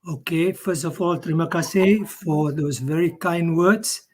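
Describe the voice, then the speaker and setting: A man's voice: a little high, unhurried. An older man with a Malaysian accent giving an informal conference lecture as a professor, repeating phrases for emphasis in simple, direct words. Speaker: professor, keynote speaker.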